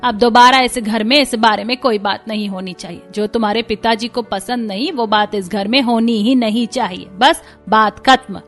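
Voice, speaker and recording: A woman's voice: on the high side, steady tone, moderate pace, hardly any pauses, a bit bright, soft background music. A woman voicing a firm, peacekeeping middle-aged mother-in-law in a cartoon story, reasoning and admonishing. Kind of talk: Hindi cartoon story voice acting.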